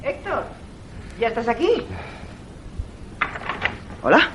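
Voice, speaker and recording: A secretive, teasing wife in a suspense radio play. Her Castilian Spanish is highly animated, rising and falling freely. She sounds evasive and theatrical. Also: takes it slowly, a little hiss or hum, full character voice, poor audio. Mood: playful, evasive. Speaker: radio drama actress. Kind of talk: suspense radio drama acting.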